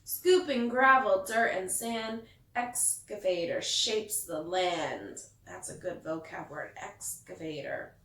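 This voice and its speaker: A woman reading a bedtime story aloud to children like a teacher, in an expressive sing-song way that stresses the rhymes. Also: lowish voice, slow pace, few pauses. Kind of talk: bedtime story read-aloud with asides to young listeners. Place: echoey indoor room. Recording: echoey room, distant mic.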